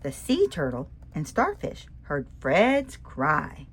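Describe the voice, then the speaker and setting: A woman reading a rhyming children's picture book aloud in an expressive, sing-song way, asking the listener questions. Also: low-pitched, takes it slowly, slightly rough.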